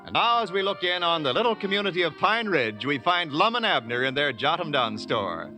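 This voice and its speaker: A man's voice: a little high, very animated, brisk, music in the background, low-quality recording. A man with an American accent announcing in a polished, emphatic, scripted 1940s radio style.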